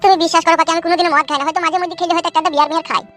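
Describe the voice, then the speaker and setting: A man's voice: very high voice, level tone, very fast, bright, forceful tone, faint music behind. A man voicing a lovestruck young man in a comedy cartoon, earnest and placating. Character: lovestruck young man, eager to please his sweetheart. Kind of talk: amateur comedy cartoon dub.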